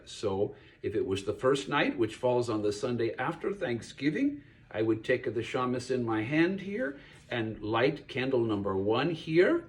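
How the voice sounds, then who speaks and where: A man voicing a friendly pig puppet who explains things haltingly to a child in a puppet show. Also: medium pitch. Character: friendly kosher pig puppet teaching a child about Hanukkah.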